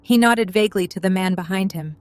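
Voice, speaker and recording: Female narrator for scripted fiction, mid-range pitch, smooth and clear. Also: a little fast, dry recording.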